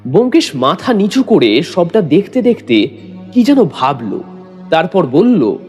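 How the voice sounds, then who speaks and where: A man gives dramatic scripted narration for an audio story. His fairly high voice has very animated intonation, with music playing behind it. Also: measured pace, husky.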